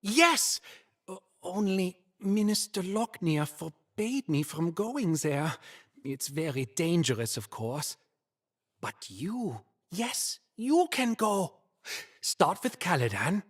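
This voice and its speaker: A woman voicing an eager, eccentric scholar of ancient relics in a fantasy video game, with a halting, conspiratorial manner. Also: lowish voice, very animated, slightly rough, full character voice. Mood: excited, conspiratorial.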